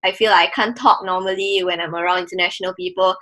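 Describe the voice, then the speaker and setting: Woman in her 20s, Malaysian accent, podcast co-host, chatty with "like"s, bright tone. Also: fairly low pitch, steady tone, fast talker. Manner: chatty, many "like"s and fillers. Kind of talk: casual podcast chat.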